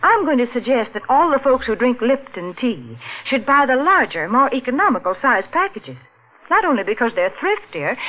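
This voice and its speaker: Sweet, practical radio spokeswoman, highish voice, animated and prim, thin vintage broadcast sound. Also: phone-quality sound. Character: sweet, practical female sponsor spokeswoman sparring with host. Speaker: co-host and sponsor announcer. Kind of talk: 1940s radio banter and ad delivery. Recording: band-limited vintage radio.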